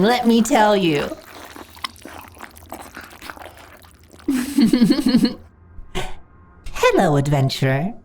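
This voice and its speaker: A woman's voice: lowish voice, a little hiss or hum. A woman voicing a lonely, hungry slime monster girl in a fantasy audio roleplay, theatrical and prone to giggling and stammering. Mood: eager anticipation.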